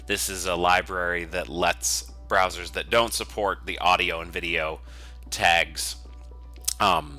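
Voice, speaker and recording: A man narrating an informal software tutorial in a conversational, explanatory way. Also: sits low. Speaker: software developer teaching a tutorial.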